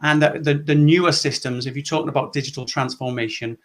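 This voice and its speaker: A man's voice: fairly high pitch, fairly even intonation, quick pace. Welsh-raised man giving a tech talk. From Wales. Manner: clear, structured, explanatory. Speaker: conference presenter. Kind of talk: presentation talk.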